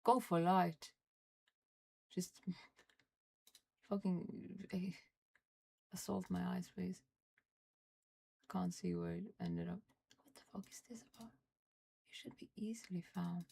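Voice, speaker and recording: A young Norwegian woman streaming live, chatting casually and muttering and swearing at her software as she struggles with it. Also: fairly low pitch, fairly even intonation, slow, vocal fry, pauses often, slight background noise. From Norway. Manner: frustrated, swearing, muttering under her breath. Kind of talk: casual livestream chat while fiddling with software.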